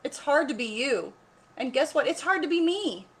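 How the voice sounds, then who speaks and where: A woman's voice: high-pitched, expressive, moderate pace, voice pushed up. A woman voicing a haughty elephant king who is weary of his crown, in a grand, emphatic storybook style. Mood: weary, longing.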